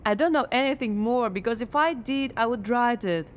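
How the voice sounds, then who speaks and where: A woman's voice: on the high side, normal speed, a little hiss or hum, close to an everyday voice. A woman playing a sarcastic, blocked playwright. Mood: sardonic. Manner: sarcastic, wry, deadpan comebacks. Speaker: writer. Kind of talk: radio drama acting.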